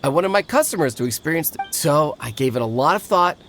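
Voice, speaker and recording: A man's voice: a little high, animated, brisk, a bit bright, slight background noise. A male actor playing a friendly, earnest young drink vendor in a video game, enthusiastic and obliging. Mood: eager, grateful. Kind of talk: video game character acting. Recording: faint music behind the voice.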